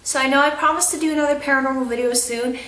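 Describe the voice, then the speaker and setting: A young woman with a stuffy, blocked nose talks through a casual home update, rambling and restarting with plenty of fillers. Her voice sits a bit high, and she is heard from a distance in a roomy, echoing space. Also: fairly even intonation, racing, a bit bright. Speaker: craft vlogger. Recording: roomy echo, off-mic.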